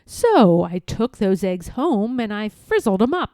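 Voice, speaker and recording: A woman reading a children's story aloud in a theatrical, rhythmic way, as expressive storytelling. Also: medium pitch, measured pace, no echo, clean studio sound. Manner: theatrical, rhythmic rhyming delivery. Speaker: storybook reader.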